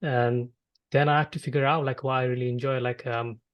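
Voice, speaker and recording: A man from Bangladesh with as his hosts a casual conversation. His mid-pitched voice moves very little in melody. He speaks haltingly, often circling back over his words. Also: level tone, muffled recording. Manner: halting, repetitive phrasing with frequent filler "like". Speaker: podcast host. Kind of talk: casual interview chat.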